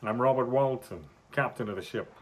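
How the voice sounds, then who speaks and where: A man's voice: mid-range voice, moderate pace, slight background noise, lightly acted. A man playing a curt, authoritative but courteous ship's captain in a radio drama. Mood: steady, curious. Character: brisk, commanding ship's captain of polar exploration.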